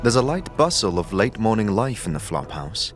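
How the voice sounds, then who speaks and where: Deep-voiced male narrator over music. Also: big pitch swings, moderate pace. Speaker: narrator and game master. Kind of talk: scripted second-person dramatic narration. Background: clear music.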